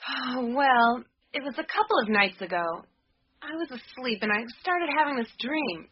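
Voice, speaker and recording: A woman's voice: sits high, lively intonation, normal speed, slightly rough, no echo. An actress playing a defiant, skeptical young woman in a radio drama, with a blunt, exasperated, sardonic manner. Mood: recounting uneasily. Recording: muffled radio recording with clicks and hiss.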